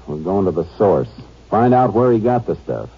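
A man's voice: lowish voice, very animated, normal speed, slightly rough, dull audio, faint music behind, full character voice. A man playing a shrewd, terse Texas Ranger investigator in an old-time radio western crime drama, on a noisy old recording. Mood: firm, reasoning.

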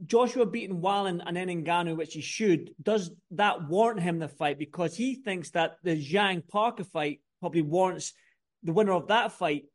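Man, high voice, casual sports interviewer, wordy questions, tentative rephrasing. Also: normal speed.

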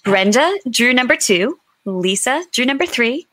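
Bright, animated woman co-host. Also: fairly high pitch, unhurried, no room sound. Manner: playful, chatty. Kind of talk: lively hosting of an online book talk.

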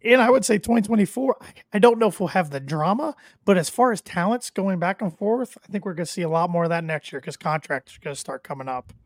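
A man's voice: high-pitched, lively intonation, quick pace, dry recording, studio quality. A man co-hosting a wrestling review podcast, talking casually and a little nasally because he is fighting a cold. He rambles with filler words and restarts. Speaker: podcast co-host.

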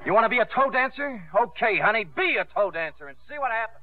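An actor playing a brash, stubborn young carnival promoter with slangy, blunt speech in a 1950s radio drama. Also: male voice, high-pitched, very animated, fast. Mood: brash, scornful. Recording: muffled, phone-like 1950s radio broadcast.

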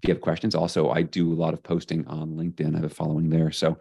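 A man being interviewed as an author on leadership, talking in an energetic, emphatic way. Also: very deep voice, very fast, gravelly, slightly rough, no room sound. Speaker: podcast guest, leadership author. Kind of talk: casual interview talk.

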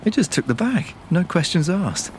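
A man's voice: high-pitched, big pitch swings, moderate pace, darkish tone, slight background noise. A man playing a talkative, teasing agent in an audio drama comedy, chatty and emphatic. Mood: pleased, impressed.

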